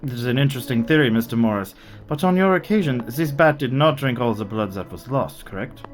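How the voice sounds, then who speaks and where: A man's voice: mid-range voice, animated, fairly quick, close to an everyday voice. Man acting a courteous old foreign professor over music. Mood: probing. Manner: formal, inverted foreign phrasing. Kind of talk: radio play acting.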